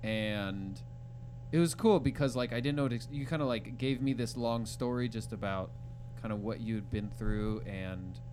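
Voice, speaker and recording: A man hosts a relaxed interview chat. His voice sits at a middle pitch, and he phrases things informally and haltingly, with a joking bent. Guitar plays faintly underneath. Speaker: podcast host.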